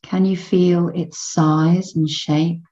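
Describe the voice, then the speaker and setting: A woman guiding a children's meditation in a gentle, soothing manner, in measured phrases with long pauses between them. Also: on the low side, flat delivery, dull audio. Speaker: meditation guide.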